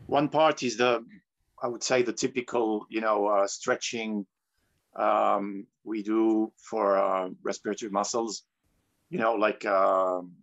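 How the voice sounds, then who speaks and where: Male interview guest from Tunisia. Deep-ish, heavy fry, halting and reflective delivery, lo-fi call sound. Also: fairly bright tone. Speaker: guest, professional free diver. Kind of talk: conversational interview answers. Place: remote online call. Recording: dull lo-fi online call.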